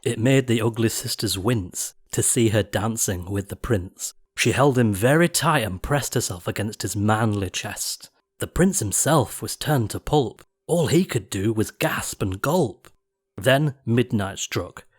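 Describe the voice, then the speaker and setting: Very animated male storyteller reading verse. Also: on the low side, measured pace, breathy edge. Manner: theatrical, expressive. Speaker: audiobook narrator. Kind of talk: dramatic rhyming verse storytelling.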